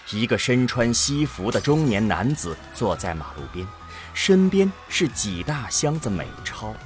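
Male narrator, slow pace, highly varied intonation, dramatic science fiction storytelling.

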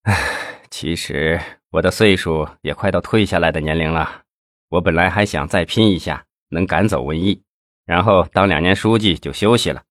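Narrator voicing a low, dragging, world-weary official, storybook drama. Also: male voice, fairly bright tone, dry recording, studio quality. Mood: weary scolding. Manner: slow, heavy, sighing. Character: aging male party official, weary, scolding uncle.

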